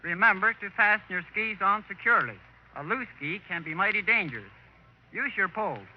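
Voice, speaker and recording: High-voiced man on vintage radio. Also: expressive, brisk. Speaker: guest, skier. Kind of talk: 1930s radio interview, scripted straight-man answers. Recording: dull, hissy 1930s radio recording.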